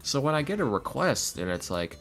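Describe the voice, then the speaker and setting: A man chatting casually as a podcast guest, animated and halting, often repeating himself as he goes. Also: mid-range voice. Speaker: podcast guest, ASMR roleplay creator and voice actor. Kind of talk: casual interview chat. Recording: music playing behind the voice. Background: background music.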